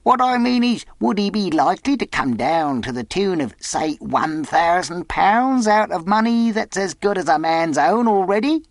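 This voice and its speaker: A storybook castaway, an old pirate gone half crazy from solitude. The voice is very high and swoops wildly. He is sly, childish and eager, talking in rough, ungrammatical sailor's speech and repeating himself. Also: animated, moderate pace, few pauses, no room sound. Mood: shrewd, wheedling.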